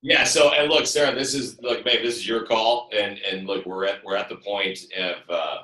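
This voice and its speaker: Heard from a distance in an echoey room, a man speaks in a booming, fairly low voice. He is a fitness trainer on a call, casual yet direct and emphatic as he explains and motivates. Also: fairly even intonation, normal speed, pushed and bright. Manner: direct, emphatic, often says "look". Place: echoing room, online call. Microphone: computer, some distance away. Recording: roomy, distant computer microphone.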